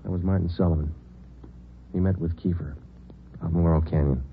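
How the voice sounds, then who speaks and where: An actor playing a police detective who narrates the case in a clipped, flat, matter-of-fact way, in a 1950s radio drama. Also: male voice, low-pitched, fairly quick, a little hiss or hum. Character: terse police detective sergeant narrating, middle-aged man. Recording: narrow-band old radio broadcast with music.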